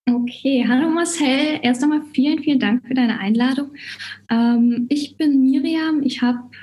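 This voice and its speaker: Higher-pitched German woman, casual podcast guest. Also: moderate pace, warm tone, slight background noise. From Cologne, Germany. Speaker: podcast guest, writing coach. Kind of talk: casual conversational interview.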